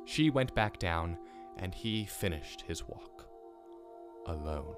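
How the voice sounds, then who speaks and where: A man playing an intense mythology professor in an audio drama, telling stories with deliberate, weighted phrasing. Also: low voice, lively intonation. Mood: hushed, grave. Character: mythology professor, grave storyteller turning frantic and obsessive. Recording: staged old classroom recording, music underneath.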